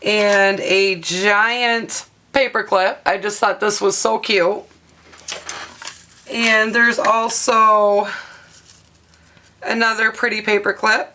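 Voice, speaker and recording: A woman with a hoarse, half-lost voice, chatting through a craft tutorial at her table and showing items with plenty of ums and uhs. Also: mid-range voice, level tone, slow pace, pauses often, sharp tone, a little hiss or hum. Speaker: craft vlogger. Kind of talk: casual show-and-tell craft tutorial.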